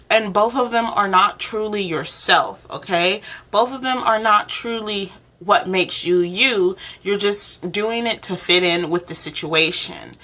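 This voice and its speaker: A woman's voice: mid-range voice, pushed and bright. A woman speaking informally and encouragingly, one-to-one, as she gives a role-play tarot reading. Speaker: tarot reader, channel host.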